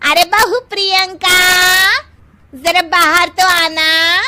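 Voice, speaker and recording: A woman's voice: very high-pitched, level tone, very slow, pushed and bright, pitched above the performer's own voice, full character voice. A woman voicing a bossy, status-obsessed middle-aged mother-in-law in a cartoon moral story, with an emphatic delivery. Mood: excited. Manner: gushing, scolding, emphatic.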